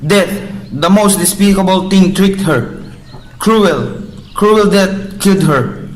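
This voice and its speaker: A man's voice: high voice, takes it slowly, rough recording. A man playing an anguished mourner lamenting a dead beloved in an amateur radio drama.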